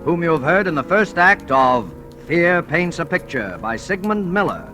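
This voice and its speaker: A male announcer presents in formal 1940s radio style. His voice is a little high and moves in lively, dramatic contours. Music plays clearly behind the old broadcast. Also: normal speed. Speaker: program announcer.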